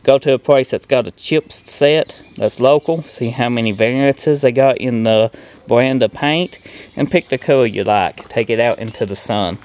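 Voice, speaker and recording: A man's voice: medium pitch, normal speed, a little mellow. A middle-aged man giving a casual hands-on walkthrough in a plain-spoken, folksy way, recorded outdoors on a handheld phone. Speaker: car restoration vlogger. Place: outdoors in a grassy gravel yard. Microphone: handheld phone at arm's length.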